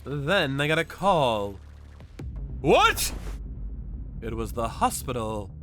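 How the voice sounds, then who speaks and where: Stern manga vigilante narrator, played by a man: medium pitch, unhurried, grimly deliberate, sharp-edged delivery. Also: sing-song, faint music behind, exaggerated voice. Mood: grim, somber. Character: stern, brooding male avenger narrating his hunt. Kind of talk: dramatic scripted first-person narration.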